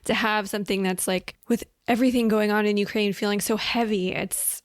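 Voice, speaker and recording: A woman co-hosting a podcast who speaks gently and with enthusiasm. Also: medium pitch, fairly even intonation, brisk, no room sound, studio quality. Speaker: podcast co-host. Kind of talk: conversational interviewing.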